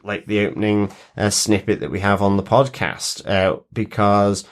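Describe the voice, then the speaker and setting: A man co-hosting a podcast, casually discussing a TV episode in halting phrases with repeated words. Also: fairly low pitch, flat delivery. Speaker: podcast co-host. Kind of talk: casual TV episode review chat.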